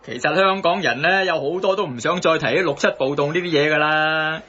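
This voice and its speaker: An actor playing a chatty, grumbling Hong Kong Cantonese taxi driver in a satirical radio drama. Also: male voice, on the high side, brisk, bright, forceful tone. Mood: matter-of-fact. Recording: dull, band-limited broadcast audio.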